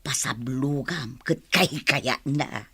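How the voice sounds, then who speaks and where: An actress playing a gossipy, bossy but kindly household helper woman with a husky voice in an Ilocano radio drama. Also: rumbling low, slow pace, a bit bright, exaggerated voice. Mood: confiding.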